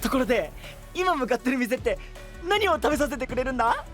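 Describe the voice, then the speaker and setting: Boyish anime goofball knight, high, very lively, casual and cheeky. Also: male voice, brisk, soft background music, full character voice. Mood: excited, smug. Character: excitable, food-loving boyish male knight. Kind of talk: anime audio drama acting.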